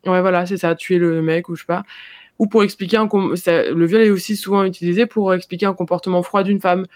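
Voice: mid-range voice, fairly even intonation, brisk, dry recording. Hesitant young speaker, dry close sound. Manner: hesitant, full of filler sounds and restarts. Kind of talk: casual discussion.

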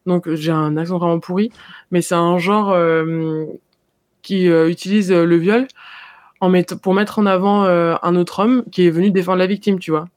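A young adult in casual discussion, talking hesitantly with many pauses and filler sounds. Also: mid-range voice, fairly even intonation, dry recording, studio quality.

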